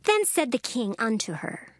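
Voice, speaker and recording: A woman giving a solemn, measured scripted narration of scripture. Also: on the high side, very animated, no room sound, clean studio sound. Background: music.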